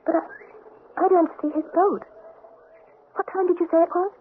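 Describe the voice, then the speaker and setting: An actress playing a refined, unhappy young countess in an old radio drama, romantic and hesitant when anxious. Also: female voice, extremely high pitch, steady tone, normal speed. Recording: tinny, hissy old radio recording.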